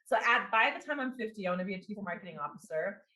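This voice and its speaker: A woman in her forties speaks loosely as an interview guest, trailing through fillers and restarted phrases. Her voice is bright and forward. The recording carries a noticeable room echo. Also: mid-range voice, lively intonation, quick pace. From immigrant. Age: 40s. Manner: rambling, with many fillers and restarts. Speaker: guest, business coach and marketing executive. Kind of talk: casual interview talk.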